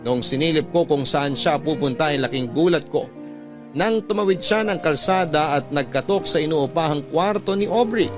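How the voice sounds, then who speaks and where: A man with a Filipino accent, a radio host reading a letter as dramatic first-person storytelling. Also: on the high side. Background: prominent instrumental music.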